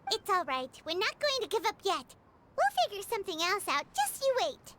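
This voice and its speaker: A woman voicing a small, eager and devoted childlike fairy companion in a video game, with a squeaky voice. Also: very animated, moderate pace, very noisy. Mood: reassuring. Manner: speaks of self in third person.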